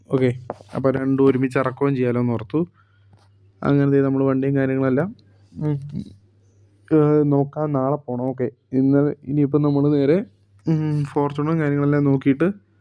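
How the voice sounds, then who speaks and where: Fast, flat-voiced man from Kerala. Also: medium pitch, smooth, highs cut off. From Kerala, India. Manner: rambling, heavy on fillers like "okay" and "and things". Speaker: vlogger narrating a bus-business roleplay. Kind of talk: casual first-person vlog narration.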